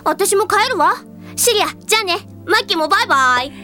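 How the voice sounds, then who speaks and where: Casting: bubbly anime policewoman, very high and bright, pouty, teasing, girlish. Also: expressive, a little fast, soft background music, exaggerated voice. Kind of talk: anime audio drama acting.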